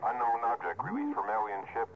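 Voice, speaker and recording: A man's voice: medium pitch, wildly swooping pitch, fairly quick. An actor playing a dutiful spaceship crewman, giving clipped, formal reports in 1950s radio drama style. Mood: formal, alert. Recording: dull, noisy vintage radio recording.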